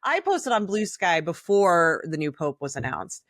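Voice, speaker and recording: Blunt middle-aged woman, slightly low voice. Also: very animated, a little slow, no echo, clean studio sound. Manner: opinionated, blunt, swears freely. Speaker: podcast co-host. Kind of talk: candid casual conversation.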